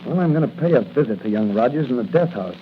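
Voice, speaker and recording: An actor playing a confident young patrolman in a 1940s radio drama, brisk, assured and earnest. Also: mid-range voice, animated, natural voice. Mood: earnest, resolute. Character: confident young male rookie patrolman, secret crime-fighter. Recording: noisy, muffled 1940 radio recording.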